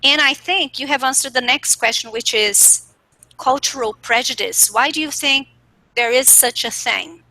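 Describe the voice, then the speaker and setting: Woman interviewer, bright highish voice, dry close sound, friendly tag questions. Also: moderate pace, dry recording, rough recording. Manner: friendly, asks questions, ends lines with tag questions. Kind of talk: conversational interview.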